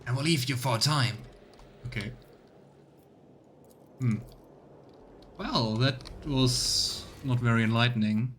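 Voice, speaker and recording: Guy doing a let's play, chatting and reading stuff out, bit of noise behind him. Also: medium pitch. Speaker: streamer. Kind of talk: casual let's play commentary and reading game text aloud. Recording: clear background noise.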